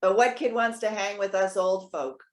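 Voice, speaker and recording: A woman's voice: mid-range voice, steady tone, moderate pace, natural voice. Woman acting wry, proud elderly woman, roomy echo. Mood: wry, chatty. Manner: articulate, wry, pointed. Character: proud, witty elderly woman, retired social worker. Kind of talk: readers theater dialogue.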